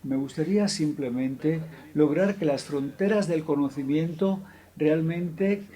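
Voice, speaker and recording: Measured man with a raised voice. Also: on the high side, dark tone. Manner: measured, with occasional hesitant fillers. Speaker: speaker introducing a lecture. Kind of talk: formal lecture introduction. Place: lecture hall.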